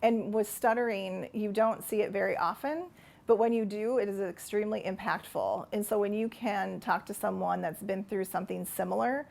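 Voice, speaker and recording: Brief: woman who stutters, creaky voice, heartfelt testimonial. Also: medium pitch, normal speed, a little mellow. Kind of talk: testimonial interview.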